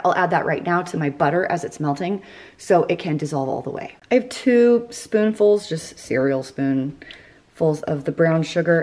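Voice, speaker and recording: A woman hosting a casual home cooking vlog, chatty and quick to laugh at herself, in a home kitchen. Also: low-pitched, a little mellow.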